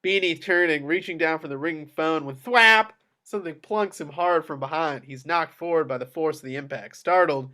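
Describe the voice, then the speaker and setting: A man with a slightly high voice narrates a film script. He reads stage directions in a present-tense, scripted style at a table read. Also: normal speed, few pauses, sharp tone.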